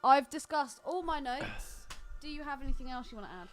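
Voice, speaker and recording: Lively, higher-pitched woman chatting. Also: normal speed, soft background music. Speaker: podcast co-host. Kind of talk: casual personal chat.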